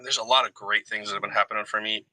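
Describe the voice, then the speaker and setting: A man's voice: fairly low pitch, steady tone, very fast, pushed and bright, dry recording, poor audio. A man speaking as a guest in casual conversation, candid and reflective about himself. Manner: reflective, self-revealing. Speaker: guest, retired army veteran. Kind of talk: candid casual personal talk.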